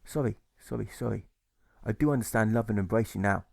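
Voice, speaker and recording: A man voicing a humble, gentle peace preacher in an audiobook, courteous and pleading, repeating himself. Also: fairly low pitch, brisk, vocal fry, no echo, studio quality. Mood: humble, apologetic.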